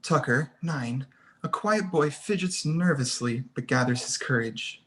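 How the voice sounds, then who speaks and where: A woman's voice: very deep voice, animated, a little slow. A woman reading screenplay stage directions as the narrator of a table read.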